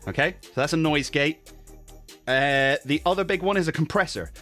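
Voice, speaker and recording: A man's voice: fairly high pitch, moderate pace, sharp tone, faint music behind. A man in a casual tutorial, explaining his streaming audio setup in a chatty way, often checking in with "okay?" and correcting himself. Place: home streaming desk. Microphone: studio mic with noise gate and compressor.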